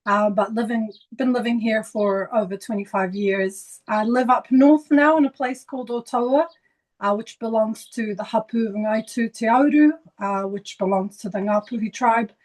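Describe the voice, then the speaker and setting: A woman's voice: fairly high pitch, moderate pace, darkish tone, poor audio. A woman from Iraq introducing herself as a panelist on a webinar, earnest, with slight stumbles.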